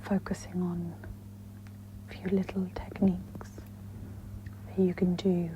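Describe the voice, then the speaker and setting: A woman speaks in a whispery, breathy voice, gently guiding a meditation in a soft-spoken ASMR style. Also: fairly low pitch, monotone. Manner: slow, gentle, instructional. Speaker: host of guided meditation ASMR. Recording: dull lo-fi with audible background noise.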